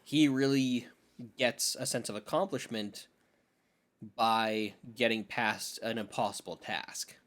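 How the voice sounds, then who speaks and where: A man's voice: medium pitch, fairly even intonation, slow pace, fairly bright tone. Casual man co-hosting a podcast. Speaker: podcast co-host.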